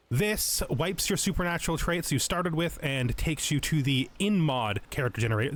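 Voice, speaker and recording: Guy doing a casual lets play tutorial, medium pitch voice, explaining stuff right to the viewer. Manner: explanatory, addressing the viewer directly. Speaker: video game let's player and tutorial host.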